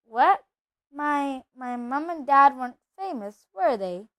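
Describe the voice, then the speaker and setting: A woman's voice: sits high, expressive, slow pace, a bit bright, exaggerated voice. A woman voicing a curious, modest young boy in a storybook, hesitant and full of questions. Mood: bewildered, eager.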